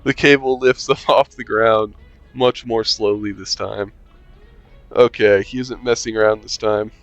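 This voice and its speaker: A man reads a visual novel aloud in a casual let's-play, chuckling and slipping in wry asides. His voice sits on the low side. The sound is a bit muffled, and soft game music runs underneath. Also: fairly even intonation, measured pace, no room sound.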